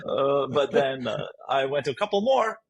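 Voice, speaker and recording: A man's voice: medium pitch, very animated, normal speed, vocal fry, highs cut off, slight background noise. A man from Latvia with a Latvian accent, a startup founder answering interview questions hesitantly with many fillers and easy laughs.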